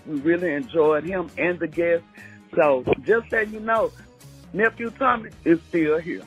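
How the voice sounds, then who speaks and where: A woman's voice: lowish voice, unhurried, slightly rough, highs cut off. A woman from the southern United States leaving a friendly phoned-in message for a radio show. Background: music.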